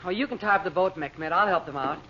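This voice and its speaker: An actor playing a breezy, skeptical teenage son with slangy, boyish talk in an old-time radio drama. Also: male voice, high-pitched, fast, over a noisy crowd, lightly acted. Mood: cheerful, breezy. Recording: dull, slightly noisy old radio recording.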